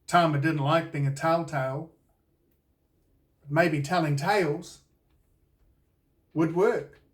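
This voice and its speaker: A man with a New Zealand accent reading a children's storybook aloud in a deliberate, expressive storytelling way. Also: a little high, recorded from a distance. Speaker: children's author reading his own book. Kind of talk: scripted storybook narration for children.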